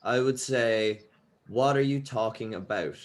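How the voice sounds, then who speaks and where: A man's voice: mid-range voice, unhurried, natural voice. A man in a comedy table read playing a hesitant, bluntly honest hopeful auditioning for a band. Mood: puzzled. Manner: hesitant, unsure.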